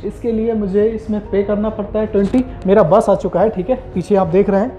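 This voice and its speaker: A young man from India narrates his walk through sunny streets in a chatty travel-vlog manner. His voice sits high, and music runs clearly beneath it. He is recorded outdoors on an earbud mic with the phone held at arm's length. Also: fairly even intonation, brisk, mellow. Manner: chatty, talks straight to the camera while walking. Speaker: vlogger. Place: sunny city streets and a bus stop, outdoors. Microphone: wireless earbud mic, phone held at arm's length.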